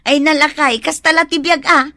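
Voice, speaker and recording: A woman's voice: sits high, fairly even intonation, normal speed, pushed and bright, no room sound. A woman playing a prank-loving village wife and mother in an Ilocano radio comedy drama. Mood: teasing. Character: teasing village wife and mother who loves pulling pranks.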